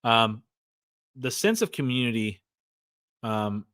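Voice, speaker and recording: Casual American host, 40s, rambling livestream chatter, mid-range voice, dry close mic. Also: male voice, medium pitch, expressive, takes it slowly, a bit bright, no echo. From California, USA. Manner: rambling, lots of fillers and restarts, reads chat aloud. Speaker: podcast host.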